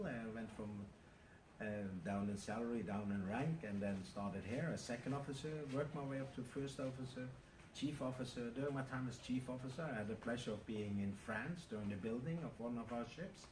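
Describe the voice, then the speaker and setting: A Norwegian ship captain in his fifties, speaking in a measured, factual way with frequent pauses. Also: mid-range voice. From Norway. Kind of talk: interview answers. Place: aboard a large cruise ship. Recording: roomy, distant, noisy ambience.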